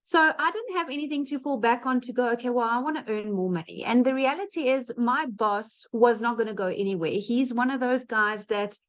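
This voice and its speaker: A woman's voice: a little high, fairly quick, few pauses. A woman with a South African accent giving a chatty webinar testimonial over a video call, with frequent "um" fillers. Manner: chatty, frequent "um" fillers, laughs between phrases. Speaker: guest speaker. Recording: muffled lo-fi online call audio.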